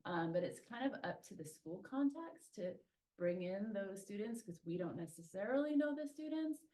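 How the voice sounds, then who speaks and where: A woman's voice: medium pitch, expressive, normal speed, warm tone. A woman answering questions at a community meeting, thinking aloud with many ums and restarts. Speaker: school traffic safety organizer.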